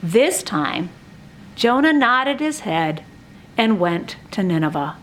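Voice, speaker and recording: A woman narrating a scripted children's story expressively, with dramatic, drawn-out emphasis on words. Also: mid-range voice, very animated, slight background noise, rough recording. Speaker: narrator of a children's church puppet show.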